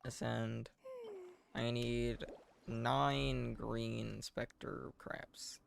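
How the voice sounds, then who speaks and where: A man giving casual live game commentary in a deadpan, self-deprecating way, muttering asides to himself. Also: mid-range voice, very slow, gravelly, a little hiss or hum. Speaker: game streamer.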